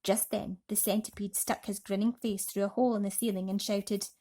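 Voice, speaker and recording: A woman narrating a children's bedtime story as an expressive, dramatic storyteller. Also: mid-range voice, a little fast.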